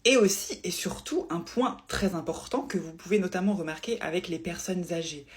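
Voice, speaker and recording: A woman's voice: fairly low pitch, moderate pace. A woman giving a casual explainer to viewers, didactic and emphatic, often repeating and rephrasing her points. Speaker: presenter explaining phone interface design.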